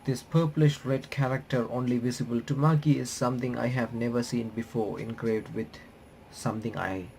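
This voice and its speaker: Man haltingly reading game text aloud, faint noise. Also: mid-range voice, steady tone, gravelly, a little mellow, rough recording. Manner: halting, stumbling reader who rereads phrases. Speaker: gaming YouTuber reading a visual novel aloud.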